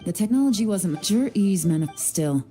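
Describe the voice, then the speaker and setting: An actress playing a cool, composed and shrewd young woman in charge of an investigation in a video game, measured and commanding. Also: medium pitch, lively intonation, music in the background, natural voice. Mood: calm, analytical. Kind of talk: video game character acting.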